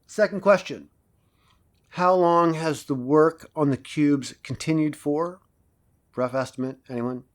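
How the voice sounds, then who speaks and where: A man's voice: a little high, unhurried, natural voice. A man playing a self-assured professor in an audio drama, lecturing didactically and theatrically as he prompts his class. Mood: didactic, assured. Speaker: university lecturer.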